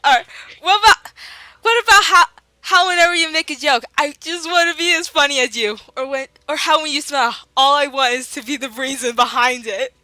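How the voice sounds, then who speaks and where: A woman reading fan fiction aloud in a giggly, animated way. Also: high voice, brisk, no room sound. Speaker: reader. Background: faint classical music.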